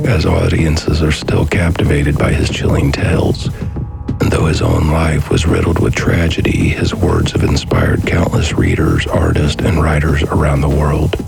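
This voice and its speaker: A man's voice: extremely low pitch, gravelly. A man reading scripted documentary narration as the narrator.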